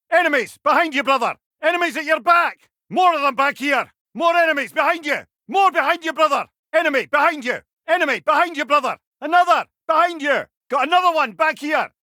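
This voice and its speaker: A man's voice: extremely high pitch, bright, forceful tone, dry recording, studio quality, exaggerated voice. A man voicing an alert video game battle companion who barks short, urgent warnings to his brother-in-arms. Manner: urgent, clipped, barking warnings. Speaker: game companion character calling out enemy positions.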